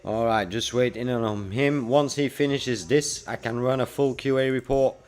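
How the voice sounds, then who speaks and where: A man's voice: mid-range voice, fairly even intonation, moderate pace, a little mellow. A man in his forties talking casually to livestream viewers in a laid-back, slangy way. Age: 40s. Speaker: livestreamer and freelance web developer. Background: music playing.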